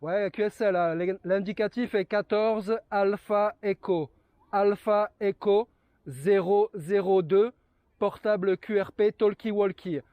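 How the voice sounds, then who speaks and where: Guy doing radio call signs, pretty high voice, very dry close sound, repeats stuff to confirm. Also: steady tone, slow, clear, resonant tone, no room sound, muffled recording. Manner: repeats key phrases for confirmation. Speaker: amateur CB radio operator. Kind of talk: radio contact exchange with call signs and code words.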